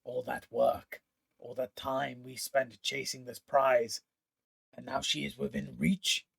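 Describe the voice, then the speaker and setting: A man's voice: mid-range voice, flat delivery, takes it slowly, gravelly, a bit bright, husky, exaggerated voice. A man voicing a jealous, cruel four-armed male Vedalken hunter who gloats and then begs, in an audiobook reading. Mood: pleading. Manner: scornful, self-pitying, pleading when threatened.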